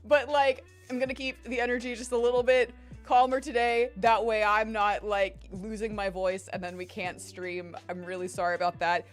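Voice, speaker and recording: A woman's voice: on the high side, little pitch movement, moderate pace. Chatty woman streamer with a strained voice. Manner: chatty, rambling, swears casually. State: strained, tired voice. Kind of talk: casual chat with stream viewers. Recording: faint music behind voice. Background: faint music.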